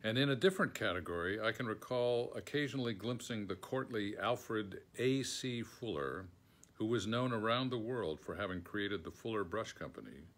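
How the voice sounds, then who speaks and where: A man's voice: fairly low pitch, normal speed, fairly bright tone. An older American man from New York State reading a written memoir aloud as scripted narration. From Schenectady, New York, United States. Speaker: foreword author reading aloud. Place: book-lined room at home.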